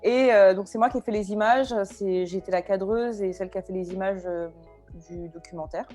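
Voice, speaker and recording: Twenty-something french woman, chatting, lots of ums and restarts, soft music behind. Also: medium pitch, brisk. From France. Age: 20s. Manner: hesitant, many fillers and restarts. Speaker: guest. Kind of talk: casual interview talk.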